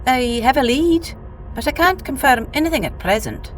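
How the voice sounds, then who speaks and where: A woman playing a brisk, wary space bounty hunter in an audio drama. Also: high voice, animated, faint music behind. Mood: guarded. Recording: echoey room with long reverb.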